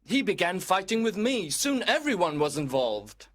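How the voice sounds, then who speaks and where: A man's voice: high-pitched, very animated, moderate pace. A man playing a loyal, concerned young cousin in a storybook, with an earnest, inquisitive manner. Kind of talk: storybook dramatization.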